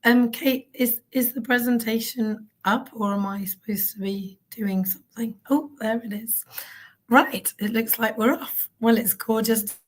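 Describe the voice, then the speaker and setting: A woman with a croaky, hoarse voice giving an earnest conference talk on a stage, with frequent ums. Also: fairly high pitch, measured pace, low-quality recording. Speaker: keynote speaker on child wellbeing. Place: event stage.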